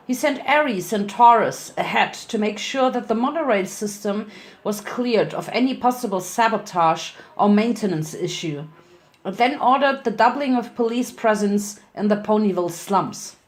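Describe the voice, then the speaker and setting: Woman reading a story dramatically. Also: mid-range voice. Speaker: fan fiction reader.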